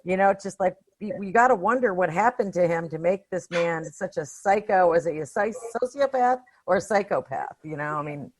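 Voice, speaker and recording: A woman's voice: lowish voice, lively intonation, brisk, few pauses, a little hiss or hum. A woman in a casual discussion as a podcast panelist, speaking in an informal, opinionated, slangy way. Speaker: podcast panelist reviewing films.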